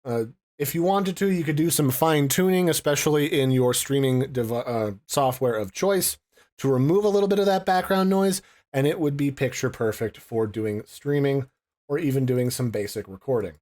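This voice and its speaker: Male tech reviewer, medium pitch, informal and explanatory, casual unboxing narration. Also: moderate pace, a little mellow.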